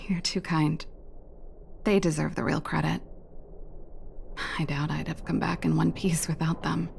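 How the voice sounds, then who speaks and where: An actress playing a world-weary, laid-back woman in a video game, casual and understated with quiet resolve. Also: low-pitched, level tone, a little slow, creaky, slight background noise, full character voice. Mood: easygoing. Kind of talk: video game character dialogue. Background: game music.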